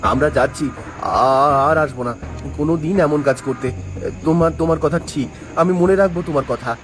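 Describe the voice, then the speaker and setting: A man voicing a meek, guilt-ridden grown son in a cartoon drama, speaking in a hesitant, halting way. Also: on the high side, close to an everyday voice. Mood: ashamed. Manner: hesitant, stammering, repetitive. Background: prominent music.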